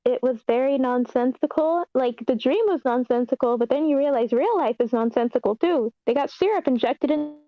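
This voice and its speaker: Higher-voiced woman giving casual feedback. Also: brisk, few pauses, dull audio. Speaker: script feedback participant at an online table read. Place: online video meeting.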